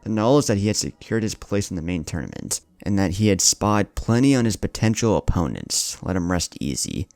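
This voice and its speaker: A man's voice: sits low, very animated, fairly quick, vocal fry, hardly any pauses, darkish tone, no echo. A man reading a dramatic scripted story as its narrator.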